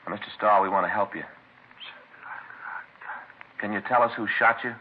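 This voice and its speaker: A man playing a calm, practical police detective partner with plain, businesslike delivery in 1950s radio crime drama style. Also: on the low side, natural voice. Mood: grim. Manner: plain, brisk, businesslike questioning. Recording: muffled, noisy old radio recording.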